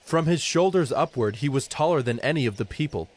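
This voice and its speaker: A man's voice: on the high side, lively intonation, fairly quick, a little mellow. A man narrating a dramatized scripture reading from a script.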